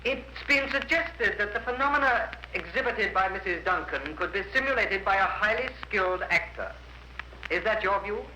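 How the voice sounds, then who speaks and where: A man's voice: sits high, expressive, normal speed, pushed and bright, heavily acted. An actor playing a formal, probing male barrister, putting polite, pointed questions in a 1950s radio drama. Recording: roomy, noisy vintage radio recording.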